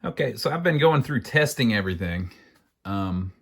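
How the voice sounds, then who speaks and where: A man's voice: on the low side, lively intonation, normal speed, vocal fry. An American man giving a casual hands-on repair walkthrough, thinking aloud in a folksy, plain-spoken way. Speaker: amateur radio repairer, video host.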